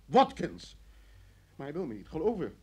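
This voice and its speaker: An actor playing a shaken, indignant man wrongly detained in an old radio drama, stammering and halting in a husky voice. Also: fairly high pitch, wildly swooping pitch, exaggerated voice. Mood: bewildered, upset. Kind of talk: vintage Dutch radio drama acting.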